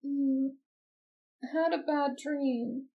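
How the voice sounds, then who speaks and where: A woman voicing a sweet, sleepy, feverish patient in an anime roleplay, soft, drowsy and childlike. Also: high voice, steady tone, very slow, dull audio, heavily acted. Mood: drowsy, needy. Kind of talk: anime fan roleplay audio drama.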